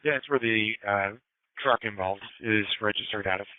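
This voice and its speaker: A man's voice: on the low side, normal speed, clear, resonant tone, no echo. A man working as a police radio dispatcher, speaking in radio dispatch chatter over a two-way radio. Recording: narrow-band police scanner radio, dry.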